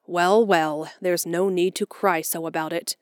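A woman's voice: fairly low pitch, fairly even intonation, no echo, clean studio sound, natural voice. A woman reading a stern, curt spinster. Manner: brisk, curt, no-nonsense. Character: stern, practical middle-aged spinster woman.

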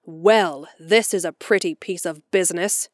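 A woman voicing a stern, practical middle-aged spinster who talks briskly and curtly in a storybook reading. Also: fairly low pitch, no room sound, studio quality, lightly acted. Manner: brisk, curt, no-nonsense.

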